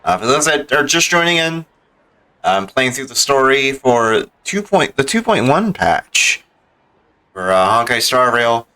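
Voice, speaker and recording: A male streamer giving relaxed, rambling commentary as he plays a video game. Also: mid-range voice, unhurried. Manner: relaxed, rambling, many fillers. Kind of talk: casual let's-play commentary.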